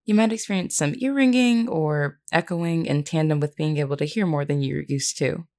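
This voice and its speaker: Woman playing a low-voiced, creaky, gentle vampire queen. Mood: calm, reassuring. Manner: measured, reassuring, formally worded. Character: gentle, candid vampire queen, young-looking but older woman. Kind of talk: audio roleplay, speaking intimately to one listener.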